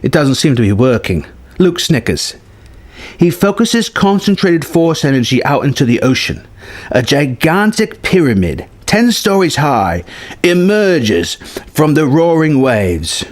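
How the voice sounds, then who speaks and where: A man's voice: on the high side, sing-song, measured pace. A man narrating a scripted story in a dramatic style. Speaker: narrator reading a film story treatment.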